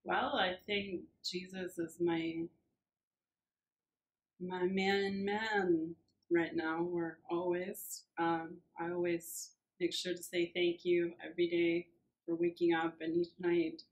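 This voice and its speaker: A woman's voice: lowish voice, little pitch movement, slow, low-quality recording. A woman in her thirties from Argentina, answering interview questions in a plain, friendly way with fillers, in a small office. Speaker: church administrative assistant. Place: small church office, indoors.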